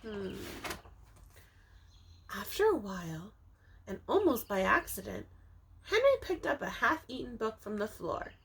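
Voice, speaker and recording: A woman teacher reading a picture book aloud to young children, expressively, with dramatic emphasis and drawn-out words, speaking to them directly. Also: on the high side, big pitch swings, vocal fry, a bit bright, a little hiss or hum. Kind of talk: animated children's storybook read-aloud.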